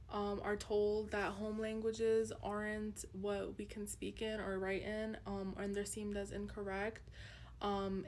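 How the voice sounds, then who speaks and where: A woman's voice: mid-range voice, a little mellow, a little hiss or hum. A young woman whose first language is Urdu, earnestly presenting a class project with frequent ums. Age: young adult. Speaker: student presenter.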